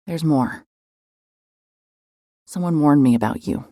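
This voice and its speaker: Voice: medium pitch, dark tone, no echo, studio quality. An actor playing a hard-hearted, suspicious investigator in an audio drama, with a blunt, sardonic, pressing way of talking. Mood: probing. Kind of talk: cinematic audio drama acting, naturalistic.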